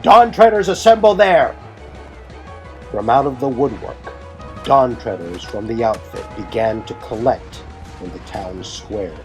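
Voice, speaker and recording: A man's voice: on the low side, unhurried. A man delivering scripted, dramatic narration for an audio drama. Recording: music bed behind the voice.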